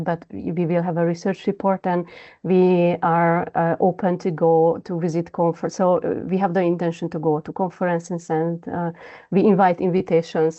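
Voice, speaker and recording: A woman researcher wrapping up a project presentation and answering questions, halting with non-native phrasing. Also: lowish voice, mellow, dull audio. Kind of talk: presentation closing and Q&A.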